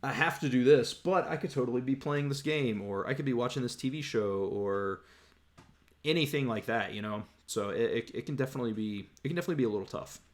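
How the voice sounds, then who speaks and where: A man's voice: medium pitch, quick pace. A young man hosting a casual live Q&A, rambling with many restarts as he reads and answers chat questions. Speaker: YouTube host answering viewer questions. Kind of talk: casual livestream Q&A.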